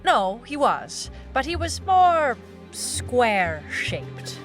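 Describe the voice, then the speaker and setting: A woman's voice: fairly high pitch, sing-song, extremely slow, heavily acted. An actress playing a hardboiled young woman private eye, wry and businesslike, in a noir audio drama. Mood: explaining, dry. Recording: music bed under the voice. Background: music.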